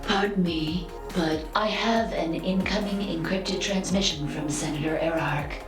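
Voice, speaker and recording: A woman playing a polite, literal-minded female android in a video game, speaking formally and precisely with a deadpan manner. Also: fairly low pitch, measured pace, natural voice. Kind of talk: scripted video game dialogue. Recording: roomy echo, music behind.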